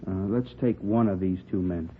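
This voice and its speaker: An old, tinny radio recording with faint noise carries a man playing a police captain. He speaks in a lowish voice, questioning politely and methodically in period crime drama style. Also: moderate pace, natural voice. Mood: courteous, probing. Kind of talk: mid-century radio police drama acting.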